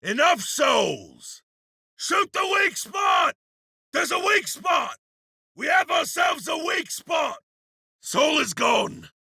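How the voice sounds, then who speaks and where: Gravelly, cocky berserker warrior. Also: male voice, very high voice, big pitch swings, takes it slowly, no echo, clean studio sound, exaggerated voice. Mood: urgent. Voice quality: gravelly, rough. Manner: forceful shouted battle barks. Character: battle-hungry iron berserker warrior man, gruff and cocky. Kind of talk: video game voice lines.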